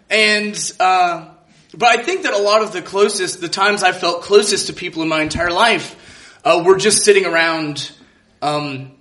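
A man's voice: fairly high pitch, bright, forceful tone. A man telling a personal story on stage in a reflective, hesitant way, in an echoey room. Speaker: live storyteller. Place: live show venue.

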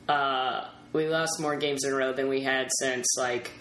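A woman with a notably low voice hosts a relaxed podcast chat. She talks freely and laughs easily, recorded in a roomy, echoing space with faint noise behind her. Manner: chatty, quick to laugh. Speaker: podcast host. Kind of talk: casual interview chat.

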